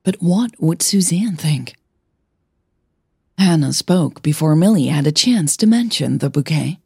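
A woman narrator reads scripted fiction slowly and deliberately. Her voice is very husky and slightly low. It is recorded close and dry. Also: expressive, darkish tone, no room sound. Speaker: podcast host and narrator.

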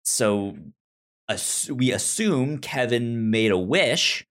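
A man's voice: mid-range voice, animated, slow, a bit bright. A man chatting casually as a podcast host, with a stutter, often acting out imagined dialogue. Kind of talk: casual TV discussion chat.

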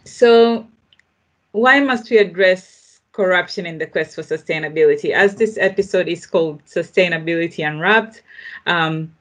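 A woman speaks as a podcast host in a fairly low voice. She introduces and explains her subject deliberately, pausing and restarting as she thinks aloud. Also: fairly bright tone. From Tanzania.

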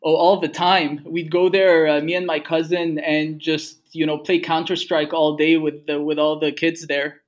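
A fairly high-pitched young man from Egypt talks as a guest in a relaxed chat. He tells stories easily, with many fillers and small restarts. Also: normal speed, hardly any pauses. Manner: relaxed storytelling with frequent fillers. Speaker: guest, game studio co-founder. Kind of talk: casual conversation.

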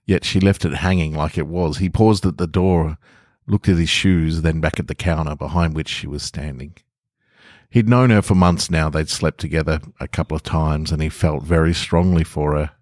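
A man's voice: a bass voice, steady tone, moderate pace, darkish tone, no room sound, clean studio sound. A man hosting a podcast, talking casually and reading short stories aloud as narrator. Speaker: podcast host and author reading own stories.

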